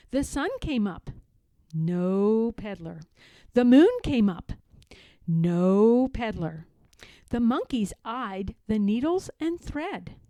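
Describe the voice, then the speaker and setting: A woman reading a children's story aloud in a dramatic, deliberate way, stressing key words. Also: a little high, mellow, dry recording. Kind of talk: children's read-aloud narration.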